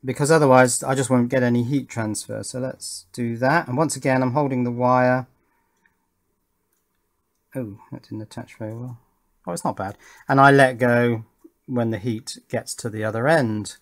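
A man with a medium-pitched voice talks casually through a practical job at his bench. He thinks aloud as he goes, in the informal style of a hobby demonstrator, and often tacks small questions onto his statements. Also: steady tone, normal speed, pauses often. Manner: thinking aloud while working, with tag questions. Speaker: host of an electronics build channel. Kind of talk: casual hands-on demonstration commentary. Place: indoors at a wooden workbench.

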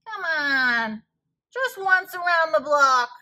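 A woman voicing a pleading, wheedling, excitable cartoon pigeon in a children's picture-book read-aloud, with a dramatic, whiny manner. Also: high-pitched, takes it slowly, full character voice.

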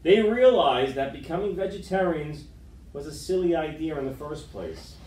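A middle-aged man reading a children's picture book aloud with dramatic, expressive storytelling, recorded indoors by a camera across the room. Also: a little high, moderate pace, a little hiss or hum. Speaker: storytime reader. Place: school room with wood-panelled walls, indoors. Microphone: far camera microphone. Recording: roomy, distant camera microphone.

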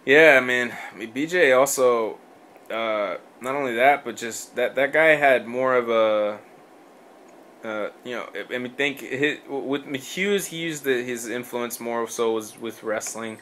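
A man co-hosting a casual podcast, rambling through his points with lots of fillers and false starts. His voice is bright and forceful at a middling pitch. There is a faint hiss of noise behind him. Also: unhurried. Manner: rambling, many fillers and restarts, swears. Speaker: podcast co-host. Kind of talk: casual sports chat.

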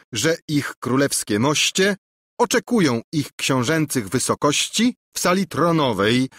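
A man's voice: mid-range voice, fairly bright tone, no room sound, studio quality, voice pushed up. A man voicing a pompous, formal master of ceremonies at a storybook royal court.

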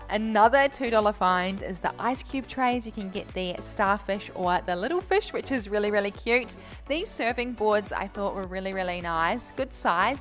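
A woman's voice: mid-range voice, a bit bright. A young woman vlogger narrating a shop-with-me voice-over in a chatty, gushing way.

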